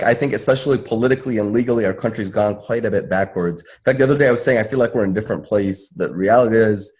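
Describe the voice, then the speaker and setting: A man with a husky voice speaking emphatically as an activist panelist, explaining things off the cuff. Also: medium pitch, a little mellow. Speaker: panelist, civil rights attorney and activist. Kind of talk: spontaneous panel talk, advocacy.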